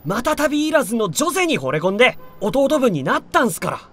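An actor playing a naive, loyal young stray tomcat, a rookie gang underling with boyish, slangy talk in a voice drama. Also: male voice, extremely high pitch, very animated, quick pace, a bit bright, soft background music, full character voice. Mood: awed, excited. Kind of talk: Japanese voice drama acting.

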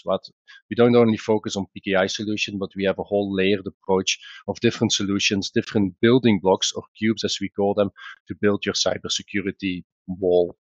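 A man with a Belgian accent, a company founder presenting a webinar in a conversational, explanatory way, often pausing on "uh" and restarting sentences. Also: on the low side, dry recording, dull audio.

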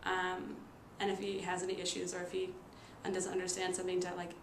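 A woman's voice: lowish voice, completely flat delivery. Young woman with a pronounced stutter. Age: 20s. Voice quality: pronounced stutter with repeated sounds and blocks. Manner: halting, with frequent fillers. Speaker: nursing student and stuttering therapy client. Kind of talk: casual personal storytelling to camera. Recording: roomy echo with noticeable background noise.

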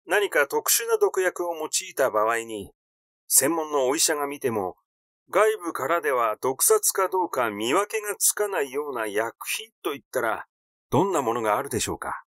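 Guy reading as pushy suspicious son in a mystery audiobook, polite but keeps digging, swoopy pitch. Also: big pitch swings, normal speed, a bit bright, no echo, clean studio sound. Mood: probing, suspicious. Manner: insistent, polite questioning. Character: suspicious, persistently probing son of the deceased.

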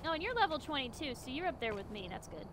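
Streamer, woman, 20s, teasing and giggly, high-pitched. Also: big pitch swings, fast, background noise. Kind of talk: casual gaming chat.

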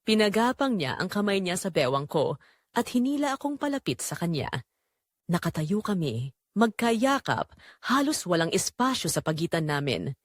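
A woman's voice: fairly low pitch, big pitch swings, moderate pace, no echo, clean studio sound. A woman with a Filipino accent reading a first-person romance drama as its narrator, in a scripted dramatic storytelling style.

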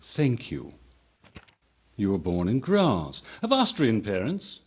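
Man acting a husky, curt major. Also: lowish voice, huge pitch swings, slow, exaggerated voice. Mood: official, cool. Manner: clipped, authoritative, dry. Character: cool, curt British military police major. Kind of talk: radio drama acting.

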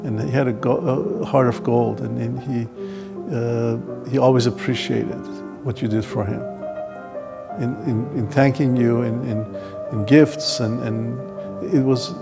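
An older man reminiscing in a documentary interview, halting and repeating words, on a lapel mic indoors. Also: mid-range voice, fairly even intonation, gravelly. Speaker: physician giving an interview. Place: furnished living room indoors. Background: music.

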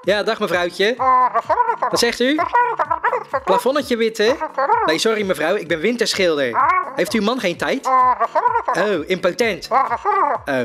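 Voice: mid-range voice, sing-song, slow pace, pauses often, a bit bright, faint music behind. A performer voicing a flustered, protesting male artist being interviewed in a comic cartoon sketch. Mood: chatty, brusque. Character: exasperated, shifty male winter-landscape painter. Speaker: interview guest.

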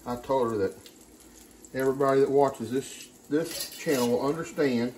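A man's voice: medium pitch, moderate pace, darkish tone. A man hosting a casual home cooking video, chatting playfully and using pet names. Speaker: cooking show host. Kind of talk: casual chat while cooking. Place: indoor home kitchen. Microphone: camera a few feet away on counter. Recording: roomy kitchen, some background noise.

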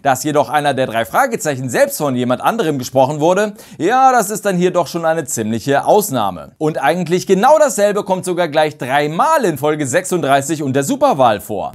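A man in his thirties hosting a channel, explaining things casually to camera with a lapel mic in an indoor studio set. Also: a little high. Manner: animated, expressive, chuckles often. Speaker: channel host.

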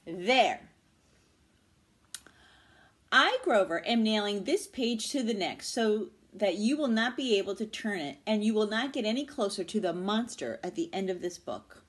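A woman's voice: medium pitch, animated, measured pace, breathy edge. A woman voicing a lovable, frightened male furry monster from a picture book, dramatic and pleading. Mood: alarmed, exasperated. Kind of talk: children's picture book read-aloud.

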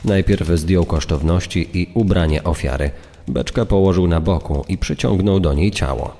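Deep male narrator, scripted crime storytelling, very low register, long echoing hall sound. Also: normal speed, dark tone. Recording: long reverb on the voice.

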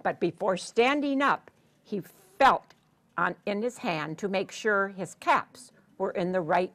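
An older woman telling a story to young children in a theatrical, deliberate way, drawing out words and putting questions to her listeners. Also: medium pitch, fairly bright tone. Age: 60s or older. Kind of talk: animated read-aloud storytelling to young children. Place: children's story room in a public library.

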